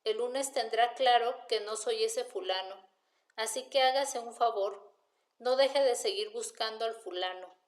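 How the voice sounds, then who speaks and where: A woman's voice: fairly high pitch, echoey room, natural voice. A woman voicing a defiant, wry male drifter who answers police questioning with sardonic retorts in an audiobook. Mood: sardonic, calm. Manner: sardonic, argumentative, unhurried retorts. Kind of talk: audiobook character dialogue.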